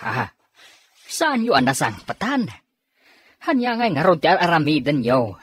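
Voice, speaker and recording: A middle-aged Ilocano father in a radio drama, husky and gruff. His delivery is reproachful, with pitch that swings widely as he speaks. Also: sing-song, a little slow, dry recording, full character voice. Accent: Filipino, Ilocano.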